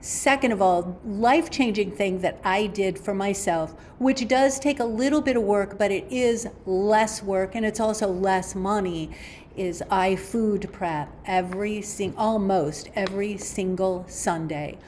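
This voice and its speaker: A woman's voice: mid-range voice, unhurried, darkish tone, a little hiss or hum. A woman guest on a podcast giving nutrition advice in a firm, matter-of-fact way.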